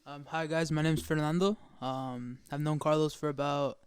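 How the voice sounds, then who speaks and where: A man's voice: a little high, fairly even intonation, moderate pace, clear, resonant tone. A man in his early twenties chatting casually as a guest on a podcast. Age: early 20s. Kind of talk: casual chat.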